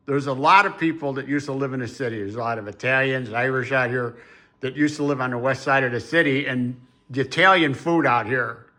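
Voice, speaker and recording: A man's voice: mid-range voice, brisk, pushed and bright. A man hosting a casual chat to camera in a plain-spoken, opinionated way. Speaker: channel host.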